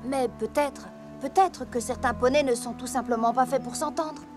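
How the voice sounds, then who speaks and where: A woman's voice: sits high, moderate pace, over music, natural voice. A woman voicing a polite, earnest young female pony in a French cartoon dub. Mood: hesitant, apologetic.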